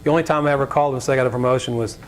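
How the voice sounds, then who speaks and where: An American man with a slightly raspy voice giving an informal, conversational talk as a guest speaker, telling anecdotes, joking and drifting into tangents. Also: steady tone, rapid-fire. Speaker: guest speaker, business leader. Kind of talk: informal lecture with anecdotes and audience questions. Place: college lecture event before an audience. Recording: rumbly live talk with background noise.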